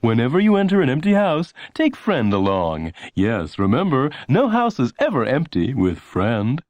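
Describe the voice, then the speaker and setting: A man voicing an over-eager male television commercial announcer in a children's audiobook, with an emphatic, drawn-out sales delivery. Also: mid-range voice, wildly swooping pitch, a little mellow, no room sound, voice pushed up. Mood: emphatic selling. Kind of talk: hard-sell television advertisement voice in a children's audiobook.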